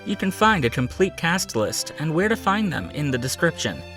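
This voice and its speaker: A man's voice: on the high side, very animated, normal speed, a bit bright. A man reading a scripted outro as the announcer of an audio drama podcast. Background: music.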